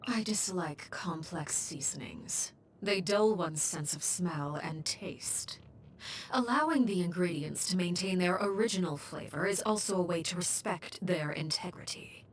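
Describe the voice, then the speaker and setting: Woman voicing stern scary lady boss from a video game, hushed breathy kinda low voice, very measured and formal, bit of rumble noise. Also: natural voice. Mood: composed, formal. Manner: measured, formal, deliberate, coolly restrained. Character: stern, composed woman, cold menacing leader of an orphanage. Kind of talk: scripted video game character voice lines.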